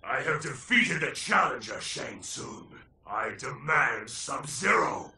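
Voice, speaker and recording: Fierce, forceful vengeful ninja warrior. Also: male voice, mid-range voice, very animated, slow pace, creaky, reverberant, far from the mic, a little hiss or hum, full character voice. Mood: bitter, defiant. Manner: forceful, commanding, defiant declarations. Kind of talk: dramatic video game acting.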